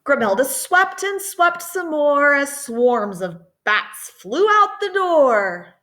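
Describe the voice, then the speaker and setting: A middle-aged woman reading a children's picture book expressively, with dramatic emphasis and chatty asides. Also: sits high. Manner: animated, deliberate, dramatic emphasis. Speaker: storytime reader.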